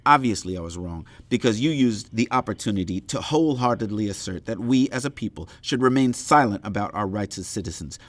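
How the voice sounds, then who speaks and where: An actor playing an indignant intellectual leader in a formal audio play debate, speaking in eloquent, accusatory oratory. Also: male voice, fairly low pitch, animated, brisk, hardly any pauses, no echo, natural voice. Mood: accusing.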